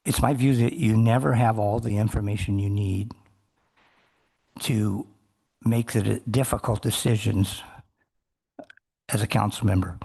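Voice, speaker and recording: A low-pitched man with a gravelly, husky voice answers a council member's question during public testimony. He speaks in a measured, formal way, pausing and restarting as he works through his points. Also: fairly even intonation, a little mellow. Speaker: testifying fisherman. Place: council meeting room.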